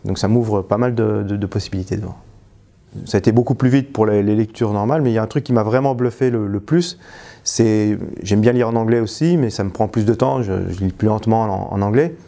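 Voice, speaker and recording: A man's voice: on the low side, a little fast, mellow. A man, a trainer and stress coach, giving a spontaneous testimonial, hesitant, restarting phrases and trailing off with filler words. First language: French.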